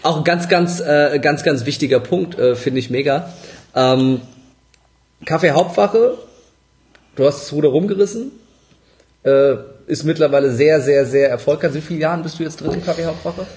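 A man's voice: a little high, normal speed, darkish tone. Eager, stumbling male interviewer. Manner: enthusiastic, repeats words, stumbles. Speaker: interviewer, podcast host. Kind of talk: casual interview talk.

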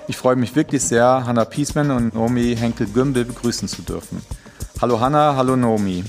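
A man's voice: medium pitch, a little fast, soft background music. A man hosting a podcast interview, introducing guests with many hesitant fillers. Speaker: podcast host.

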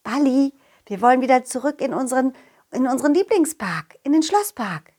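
A woman's voice: high-pitched, lively intonation, brisk, a little mellow, voice pushed down. A woman voicing Kollin, a confident, loyal talking dog, narrating a children's audio play in a simple, child-directed way. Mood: friendly, eager. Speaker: storyteller and main character of a children's audio story.

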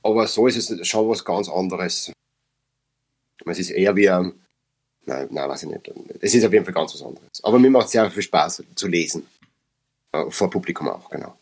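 Brief: Austrian male actor, low husky voice, reflective podcast guest. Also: lively intonation, moderate pace, a little mellow. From Upper Austria. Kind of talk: casual podcast conversation.

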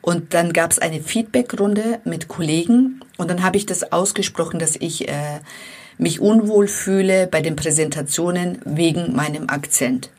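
Slow, husky-voiced woman coach. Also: lowish voice. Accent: non-native accent. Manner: calm, reflective, earnest, addresses listeners directly. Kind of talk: motivational monologue to viewers.

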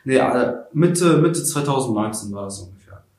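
A man's voice: mid-range voice, lively intonation, moderate pace, a little mellow, roomy sound. An eighteen-year-old man from Ukraine, a social media creator, answering interview questions casually with lots of fillers and restarts. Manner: hesitant, many fillers and restarts.

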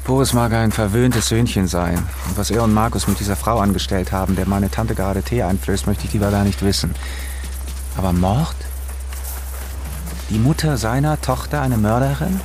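A man's voice: on the low side, normal speed, over music, close to an everyday voice. An actor playing a vengeful man who taunts and accuses in an audio drama, with a cold, insistent manner. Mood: cold, taunting.

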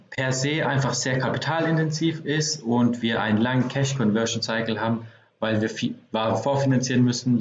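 A man hosting a podcast interview, talking loosely with many fillers as he sums things up. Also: medium pitch. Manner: summarizing, loose and chatty with fillers. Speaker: podcast host. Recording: dull, roomy sound with faint noise.

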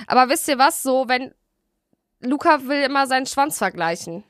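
A woman's voice: sits high, animated, normal speed, fairly bright tone, no room sound, clean studio sound. A young woman co-hosting a casual podcast, teasing and slangy, with playful insults. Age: young adult. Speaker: podcast co-host.

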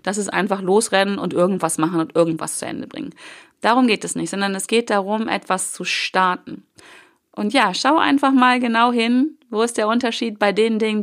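A woman's voice: medium pitch, normal speed. A young woman with a cold, hosting a solo motivational podcast in a casual coaching tone, with loose fillers and the odd swear word. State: has a cold. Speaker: podcast host.

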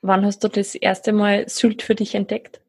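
A woman's voice: medium pitch, flat delivery, measured pace, darkish tone, breathy edge. A woman hosting a podcast, asking a guest questions in a friendly, brief way. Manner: friendly, short affirmations. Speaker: podcast host. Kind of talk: interviewing a guest.

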